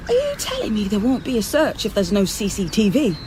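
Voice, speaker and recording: A woman's voice: mid-range voice, noisy background. An actress playing a determined, frustrated undercover policewoman in a naturalistic radio drama, speaking in an intense, insistent way.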